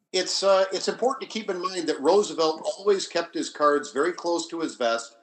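A man speaking off the cuff as a history scholar in a panel discussion, explaining deliberately with halting restarts and repeated phrases. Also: a little high, fairly bright tone, a little hiss or hum, poor audio.

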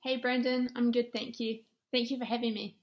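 A woman's voice: a little high, little pitch movement, fairly quick, lo-fi sound. A woman in her thirties with a New Zealand accent, talking conversationally as an interview guest and telling stories. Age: 30s. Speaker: podcast guest, former college golfer and ministry director.

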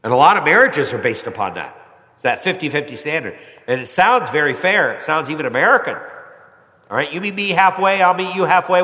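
A pastor preaching a sermon with a stuffy head cold, climbing higher and louder when he presses a point. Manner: rises in pitch and volume when pressing a point. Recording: long hall reverb.

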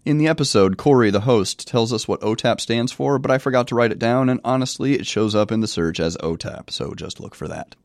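A man's voice: mid-range voice, brisk, gravelly, few pauses, no room sound, studio quality. A middle-aged man with a cold, hosting a solo podcast as a professor, talking in a wry, self-deprecating way. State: has a cold. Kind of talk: solo review podcast monologue.

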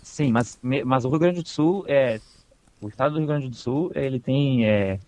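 A man's voice: mid-range voice, moderate pace, darkish tone. A young man from southern Brazil with a slight southern Brazilian accent, chatting casually as the interviewee and often repeating words as he thinks. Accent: slight southern Brazilian Portuguese. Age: young adult. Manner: hesitant, repeats words while searching. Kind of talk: casual conversation.

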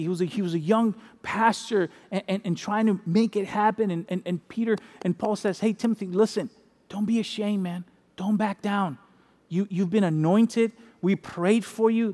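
A pastor from the Dominican Republic preaching a sermon in an animated, conversational way, repeating key phrases and adding asides. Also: male voice, sits high, big-room sound.

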